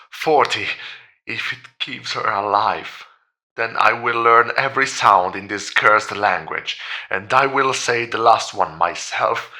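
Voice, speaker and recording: An actor playing a grieving father in a horror audio drama, desperately clinging to faith, pleading in halting, insistent repetitions. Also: on the low side, pushed and bright, full character voice. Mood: defiant, grieving. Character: grieving father, desperate believer in a promised resurrection.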